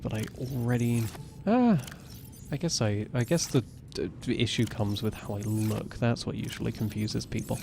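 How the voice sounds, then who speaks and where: A man in his twenties with a naturally deep voice, rambling casually as he streams a game live. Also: mellow, slight background noise. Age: 20s. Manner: chatty, rambling, self-correcting. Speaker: gaming streamer. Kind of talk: casual live gameplay commentary.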